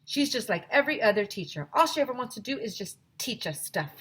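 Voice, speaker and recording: A woman's voice: a little high, big pitch swings, quick pace, fairly bright tone, slightly rough, pitched above the performer's own voice, full character voice. A woman voicing a chatty schoolboy who muses and complains, from a children's storybook. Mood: disappointed. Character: chatty, easily impressed schoolboy, Michael.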